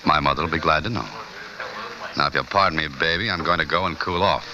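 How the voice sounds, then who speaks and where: An actor playing a wry, dogged American newspaper reporter in a deadpan 1940s radio drama style. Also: male voice, a bass voice, a little fast, noisy background. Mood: terse, wry. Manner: deadpan, wisecracking. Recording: dull vintage radio recording, music behind.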